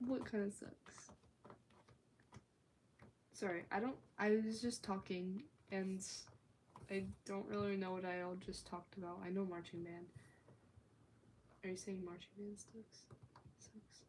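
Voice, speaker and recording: A teenage girl chatting on her own livestream, rambling loosely with lots of "like" and now and then dropping into a soft whisper. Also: mid-range voice, fairly even intonation, measured pace, long pauses, a little hiss or hum, rough recording. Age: teens. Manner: rambling, chatty, filler-heavy talk with frequent "like". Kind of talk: casual livestream chat. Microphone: phone or tablet.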